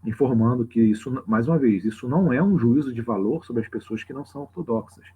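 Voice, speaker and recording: A man with a husky voice and a Brazilian Portuguese accent, explaining things informally and off the cuff to a livestream audience, often checking in with tag questions. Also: medium pitch, fast talker. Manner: conversational, frequent tag questions. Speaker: religious teacher hosting a live open class. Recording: slightly dull lo-fi livestream audio.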